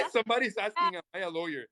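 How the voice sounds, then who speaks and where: A man's voice: high-pitched, expressive, fast, bright, forceful tone. A young man from Puerto Rico in his twenties, co-hosting a casual live stream podcast in a playful, teasing way. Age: 20s. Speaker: podcast co-host.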